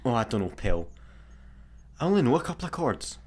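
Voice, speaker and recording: An actor playing an eager but unsure amateur guitarist on the run in a sci-fi comedy radio play. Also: male voice, mid-range voice, sing-song, fairly quick, a little mellow. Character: hesitant, eager, dim amateur guitarist space fugitive.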